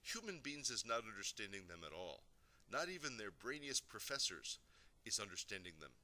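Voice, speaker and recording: Man voicing a folksy, animated storybook giant. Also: on the low side, normal speed, heavily acted. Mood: musing. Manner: quaintly ungrammatical, musing. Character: gentle, folksy male giant in a children's storybook.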